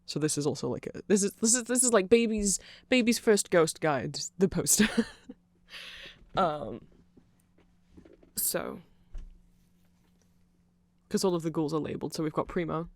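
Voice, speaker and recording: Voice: medium pitch, expressive, measured pace, pauses often, no room sound. A performer voicing a nerdy vampire fan in a rambling, apologetic, digressive ASMR explanation. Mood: rambling, explanatory.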